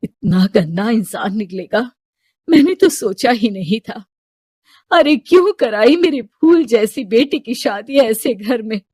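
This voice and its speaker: A man's voice: very high-pitched, expressive, unhurried, a little mellow, breathy edge, no room sound, clean studio sound, full character voice. An actor voicing a stern, curt, calculating middle-aged businessman father in a Hindi moral-story cartoon.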